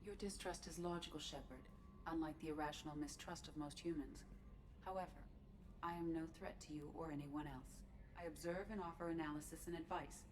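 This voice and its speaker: A woman voicing a logical shipboard artificial intelligence in a video game, measured, formal and detached. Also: low-pitched, fairly even intonation, background noise, lightly acted. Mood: calm, analytical. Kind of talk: video game dialogue acting.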